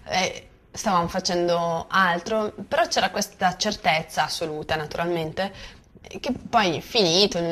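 A woman's voice: on the low side, fairly bright tone. A woman from Bosnia, a native Bosnian speaker, giving spontaneous personal testimony and hesitantly searching for words. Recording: faint background noise.

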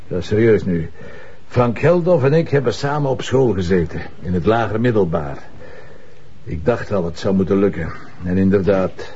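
A man's voice: on the low side, warm tone, highs cut off, slight background noise, lightly acted. A man playing a laid-back police commissioner in a crime drama, with a Flemish accent and a dry, conversational manner. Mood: reflective. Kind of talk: naturalistic TV crime drama acting.

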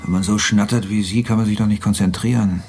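Gravelly, sardonic flight instructor. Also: male voice, fairly low pitch, steady tone, fast talker, darkish tone. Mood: mocking. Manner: laconic, mocking, fatalistic. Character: sardonic, world-weary male flight instructor, fond of drink. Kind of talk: naturalistic German radio play dialogue.

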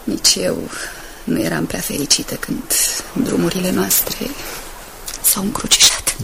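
An actress playing a devoted, modest young wife in a radio drama, gentle and eager to please. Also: female voice, lowish voice, fairly even intonation, vocal fry. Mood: sincere, wistful. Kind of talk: Romanian radio drama acting. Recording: noisy 1980s radio drama tape.

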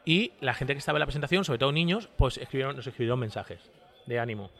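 A man talks as a guest in an interview. His delivery is rapid, informal and conversational, with a mid-range voice. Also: mid-range voice, a bit bright. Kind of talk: casual conversation.

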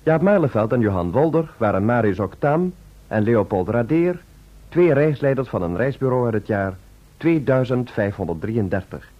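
A man's voice: medium pitch, lively intonation, moderate pace. A man announcing radio drama credits in a formal, vintage broadcast style. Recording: dull old radio recording.

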